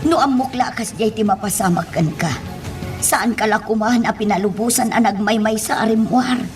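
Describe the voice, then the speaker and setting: An actress with an Ilocano accent playing a fretful, devoted nanny in a radio drama. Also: female voice, a little high, moderate pace, darkish tone, distant mic, soft background music, close to an everyday voice. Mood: worried, scolding. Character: fretful female nanny devoted to her young ward.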